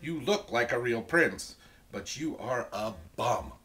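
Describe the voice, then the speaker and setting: A storyteller voicing a bold, clever young princess in a loud, emphatic, blunt way. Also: medium pitch, expressive, slow pace. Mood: indignant.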